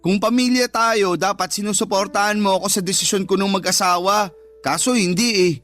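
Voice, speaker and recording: Lazy annoyed little brother, whiny comebacks, radio drama, bit of music underneath. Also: male voice, high voice, steady tone, brisk, a bit bright. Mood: resentful. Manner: whiny, irritated. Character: lazy, sulky, defiant younger brother, married mobile gamer. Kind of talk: Filipino radio drama dialogue.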